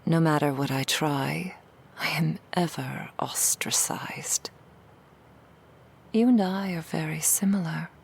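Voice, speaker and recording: A woman playing a seductive female demon in an ASMR roleplay, coaxing the listener in a breathy whisper with soothing, insidious persuasion. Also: deep voice, slow, full character voice. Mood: coaxing, falsely tender. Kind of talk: ASMR roleplay, intimate monologue.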